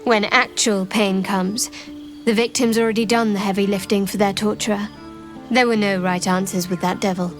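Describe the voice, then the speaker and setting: A woman's voice: mid-range voice, steady tone, moderate pace, soft background music, lightly acted. Woman playing a cool, guarded fantasy adventurer. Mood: cool, warning. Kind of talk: scripted game dialogue.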